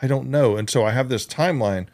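A man's voice: mid-range voice, quick pace, a little mellow. A man in his fifties hosting a podcast interview, chatting casually and reflectively with frequent restarts. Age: 50s. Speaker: podcast host.

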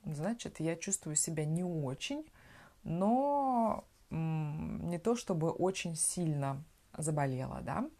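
A woman from Russia hosts a relaxed language podcast, speaking in an unhurried, lower voice. She explains words patiently and checks in with the listener as she goes. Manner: explains words simply, often asks "да?". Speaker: podcast host teaching Russian. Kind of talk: slow conversational language lesson.

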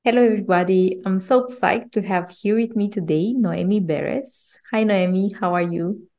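A woman's voice: mid-range voice, moderate pace, dull audio. A woman hosting a podcast, reading a scripted introduction and asking enthusiastic, earnest questions. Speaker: podcast host.

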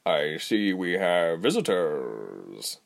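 A man voicing a weary, fat male tabby-cat chief in a storybook, with slow, drawn-out purring speech. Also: low-pitched, sing-song, creaky, voice pushed down, exaggerated voice. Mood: slow, menacing calm. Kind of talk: audiobook character voice.

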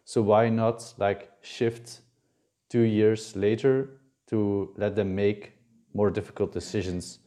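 A man's voice: lowish voice, little pitch movement, takes it slowly, dark tone. A young man hosting a podcast, asking questions in a rambling, casual way. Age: young adult. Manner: rambling, with fillers and restarts. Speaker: podcast host. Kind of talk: casual interview.

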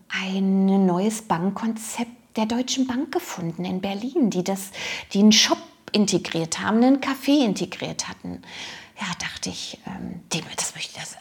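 A woman's voice: mid-range voice, a little mellow. A woman telling a personal story informally, hesitant and searching for her words.

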